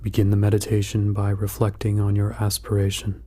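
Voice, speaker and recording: A man guiding a meditation in a calm, measured, soothing manner, with long pauses between instructions. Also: fairly low pitch. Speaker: meditation guide. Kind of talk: guided meditation narration.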